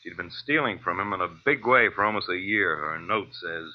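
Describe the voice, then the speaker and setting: A police lieutenant with a fairly low voice. He is weary, familiar and matter-of-fact, in 1940s radio drama style. The sound is muffled like old broadcast radio. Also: lively intonation, brisk.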